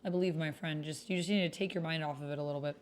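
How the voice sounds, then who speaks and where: A woman's voice: deep voice, steady tone, racing. A woman hosting a livestreamed text roleplay game, chatting directly with viewers and reading posts aloud.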